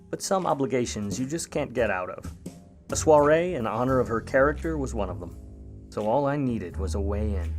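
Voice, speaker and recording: An actor playing a wry, slangy, smooth-talking private eye in an old-time radio noir drama. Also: male voice, on the low side, natural voice. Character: grudging, smooth-talking hardboiled 1950 Hollywood private eye.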